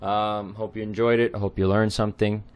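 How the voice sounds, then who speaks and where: A man's voice: on the low side, level tone, a little fast, clear, resonant tone. A man whose first language is not Spanish, casually explaining song lyrics word by word with many fillers. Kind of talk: casual lyric translation lesson.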